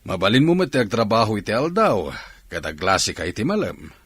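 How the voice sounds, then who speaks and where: Low, husky, animated Filipino factory man. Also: fairly quick, no echo. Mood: persuasive, practical. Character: male factory man, Mister, offering work, practical and encouraging. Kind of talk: Ilocano radio drama acting.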